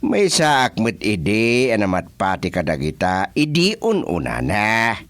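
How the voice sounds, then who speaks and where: A man's voice: fairly low pitch, huge pitch swings, slow pace, fairly bright tone, no echo, exaggerated voice. A man playing a folksy elderly village husband in a radio drama comedy, with an Ilocano accent. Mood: folksy, explaining.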